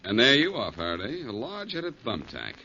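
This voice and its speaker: An actor playing a shrewd, confident American detective in a 1940s radio drama, wry and insistent. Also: male voice, medium pitch, wildly swooping pitch, brisk, sharp tone, heavily acted. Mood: accusing. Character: shrewd, confident male amateur detective, coolly needling. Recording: muffled, hissy 1940s radio recording.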